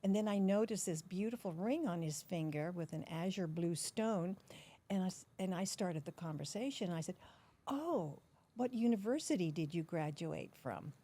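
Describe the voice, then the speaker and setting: An older woman from the Netherlands, a podcast guest recounting memories in an unhurried, storytelling way. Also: lowish voice, animated. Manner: warm, unhurried storytelling with soft laughs. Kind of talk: storytelling interview.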